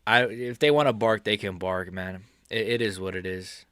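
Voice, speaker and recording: A man's voice: fairly low pitch, fairly even intonation, moderate pace, a bit bright, dry recording, studio quality. A man in his early twenties from the United States, chatting casually on a live stream, rambling with lots of fillers and laughs. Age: 20s. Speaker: MMA YouTuber streaming live. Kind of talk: casual live-stream chat reading chat questions.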